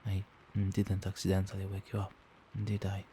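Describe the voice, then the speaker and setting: A man playing a doting, protective vampire boyfriend in a soft-spoken, soothing and attentive ASMR roleplay. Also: deep voice, completely flat delivery, moderate pace, a little hiss or hum, close to an everyday voice. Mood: tender, concerned. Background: rain.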